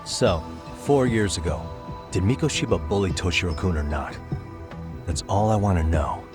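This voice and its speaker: Dogged investigator, low voice, serious and insistent, game drama with music behind. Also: male voice, animated. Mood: pressing. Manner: pressing, deliberate, serious. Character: dogged, probing male investigator, calm but insistent. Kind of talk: dramatic game cutscene acting.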